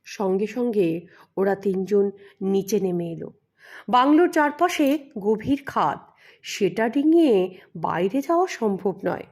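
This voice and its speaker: A woman's voice: mid-range voice, very animated, measured pace, long pauses, a little mellow. A woman narrating a scripted mystery story as an audio storyteller.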